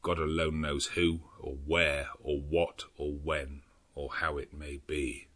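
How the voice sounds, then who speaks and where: Guy as grim english doctor, low husky voice, measured audiobook diary, foreboding. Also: little pitch movement, a bit bright, exaggerated voice. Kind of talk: audiobook character narration.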